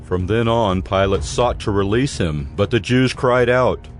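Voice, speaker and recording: Low-voiced male scripture narrator. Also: measured pace, faint music behind.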